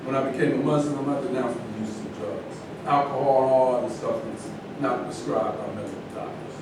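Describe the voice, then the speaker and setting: A man's voice: steady tone, fairly quick, lightly acted. A man acting in a staged reading as an earnest, defensive ex-athlete in conversational dialogue. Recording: very echoey room, distant, rumbling noise.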